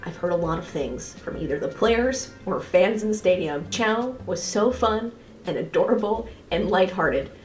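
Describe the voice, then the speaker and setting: A woman's voice: fairly low pitch, animated, normal speed, a little mellow. A woman giving a casual, opinionated race review in an informal way. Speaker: motorsport analysis video host. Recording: echoey room, faint music behind.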